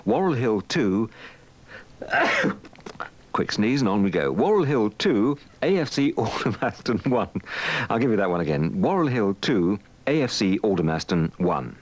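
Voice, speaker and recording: A British man reading local football results live on radio, brisk and unfussed. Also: lowish voice, sing-song, slight background noise.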